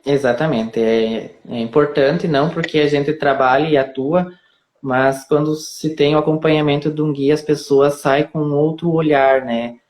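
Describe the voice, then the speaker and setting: Man from southern Brazil, local tour guide, calm explainer on a video call. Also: on the high side, moderate pace. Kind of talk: casual live-stream interview.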